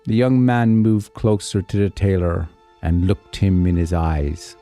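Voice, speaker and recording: A male storyteller delivers a scripted folk tale in a fairly low, mellow voice. His telling is measured and theatrical, with deliberate pauses, and music plays underneath. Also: little pitch movement. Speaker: storyteller, narrator.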